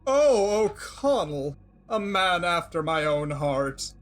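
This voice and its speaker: Guy playing a cocky excitable young soldier in an audio drama, voice jumps all over. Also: fairly high pitch, slow pace. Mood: playful. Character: brash, gleeful young male soldier.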